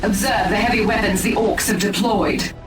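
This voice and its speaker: A woman's voice: mid-range voice, normal speed, distant mic, music in the background, natural voice. Echoey, haughty alien elf played by a woman commander. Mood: commanding. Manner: formal, imperious, disdainful.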